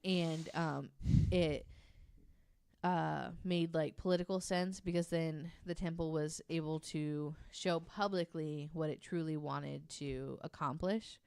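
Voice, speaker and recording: A woman hosting a podcast, casually narrating a researched story from notes in an informal, halting way. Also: low-pitched, fairly even intonation, gravelly, a little mellow, smooth, no room sound.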